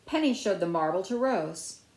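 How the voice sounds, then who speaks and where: A middle-aged woman reading a children's picture book aloud with deliberate phrasing. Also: mid-range voice, lively intonation, darkish tone, a little hiss or hum. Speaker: storybook reader. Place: small room at home by a window, indoors. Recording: roomy, echoey indoor sound.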